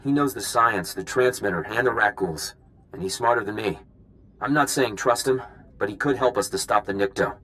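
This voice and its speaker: A man playing a dutiful, practical field agent in a video game, brisk and frank. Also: lowish voice, sharp tone, clear, resonant tone, poor audio. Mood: businesslike, focused.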